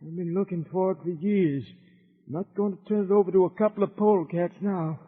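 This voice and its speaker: Husky, high-voiced stubborn old prospector. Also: male voice, steady tone, brisk. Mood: defiant. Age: older. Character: stubborn, weakened old male treasure hunter held captive. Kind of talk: old-time Western radio serial acting. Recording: tinny old radio, long hollow reverb.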